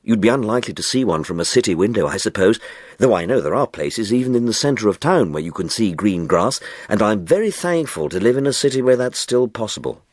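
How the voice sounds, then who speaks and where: A man's voice: fairly low pitch, sing-song, brisk, dry recording, clean studio sound. A man voicing an inquisitive, rambling friend in conversation for an audiobook story. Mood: curious, chatty. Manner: questioning, rambling.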